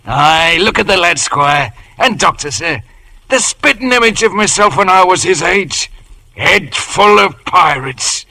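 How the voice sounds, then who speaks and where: A forceful, high-pitched West Country sea cook for a radio play. He is jovial and sly, flattering his betters in folksy sailor talk, with a gravelly creak in the voice. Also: measured pace, heavily acted, rough recording. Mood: earnest, humble. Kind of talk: old-time radio drama acting.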